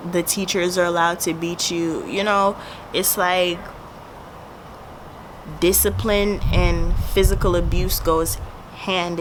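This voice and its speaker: A woman's voice: fairly low pitch, noisy background. A twenty-year-old woman from Jamaica with a Jamaican accent, telling a personal story to camera in a casual, blunt and profane way. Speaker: vlogger.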